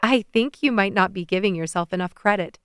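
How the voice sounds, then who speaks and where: A woman playing an admiring young friend who speaks with gentle sincerity. Her voice is smooth and clear, and the recording is dry and close. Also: mid-range voice, fairly quick, no echo, lightly acted. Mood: encouraging.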